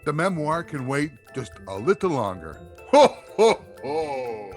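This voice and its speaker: A man's voice: a little high, sing-song, unhurried, darkish tone, faint music behind. A man playing a jolly, stubborn old Santa Claus in a comedy audio drama, with a grand, theatrical manner. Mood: jolly resolve.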